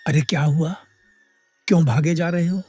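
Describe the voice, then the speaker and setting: A man playing an authoritative village headman with a husky voice in a moral story. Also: a little high, fairly even intonation, moderate pace, darkish tone, soft background music, close to an everyday voice. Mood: questioning, alarmed. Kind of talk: animated moral story dialogue.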